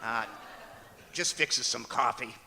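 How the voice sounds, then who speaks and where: Very forceful dry wisecracking husband played by a man. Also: medium pitch, slow pace, creaky, full character voice. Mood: wry. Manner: hesitant, dry, punctuated with stammers. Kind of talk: old-time radio comedy acting on stage. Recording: echoey auditorium, noticeable noise.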